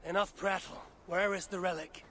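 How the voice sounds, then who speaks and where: An actor playing a proud Norse god, imperious and blunt, with formal archaic phrasing in a video game drama. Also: male voice, sits high, fairly even intonation, moderate pace, pushed and bright, slight background noise. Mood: impatient. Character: proud, commanding male Norse god, lord of Asgard.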